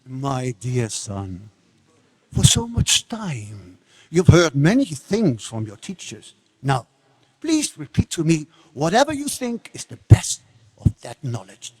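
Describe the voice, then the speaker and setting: Demon king, tyrannical father, declamatory, very animated pitch, stage reading, echoey hall. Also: husky, full character voice. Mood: slow, fond. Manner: theatrical, declamatory, swinging from slow and soft to shouting. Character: tyrannical demon king, a father, fondly indulgent then furious. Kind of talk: dramatic scripture reading on stage. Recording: echoey hall with long reverb.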